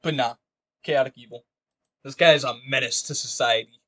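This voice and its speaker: A man's voice: mid-range voice, brisk, fairly bright tone, no room sound. A man in casual, opinionated conversation as a podcast co-host talking fantasy gaming lore.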